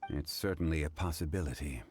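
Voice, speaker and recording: A composed, learned gentleman with a low, steady voice. He chooses his words with formal care and never hurries. Soft game music runs behind him. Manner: measured, formal, deliberate phrasing. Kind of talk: video game voice acting.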